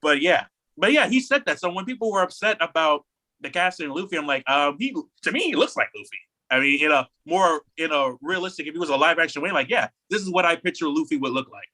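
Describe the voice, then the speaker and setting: A man with a slightly high, very bright voice co-hosts a podcast. He gives his opinions in casual chat. He rambles with emphasis and often circles back to repeat himself. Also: animated, fast talker, poor audio. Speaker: podcast co-host. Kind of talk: casual opinionated chat about anime news.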